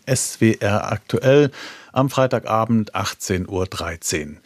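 A man presenting radio news in a smooth, lightly conversational way. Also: fairly low pitch, no echo, clean studio sound.

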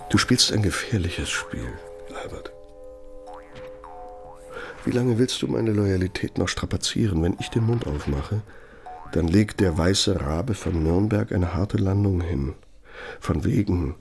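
Bitter older guy in a radio play, gravelly and low, needling sarcasm, veiled threats to his old boss. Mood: bitter, threatening. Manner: sarcastic, needling, emphatic, drawn-out phrasing for menace. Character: bitter, sardonic older former right-hand man, quietly threatening. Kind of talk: radio play dramatic monologue.

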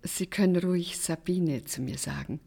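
An actress playing an eager, flirtatious secretary with a husky voice, in naturalistic drama dialogue. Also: female voice, on the low side, moderate pace, mellow. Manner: inviting, curious, admiring. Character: eager, flirtatious small-town mayor's secretary.

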